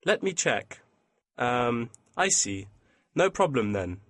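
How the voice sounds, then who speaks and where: A man's voice: medium pitch, sing-song, slow pace, fairly bright tone, dry recording, clean studio sound. A man playing a courteous, businesslike printing company employee in a scripted listening-test dialogue. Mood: polite, attentive. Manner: courteous, customer-service formal. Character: polite, businesslike male printing company employee taking a customer's requests.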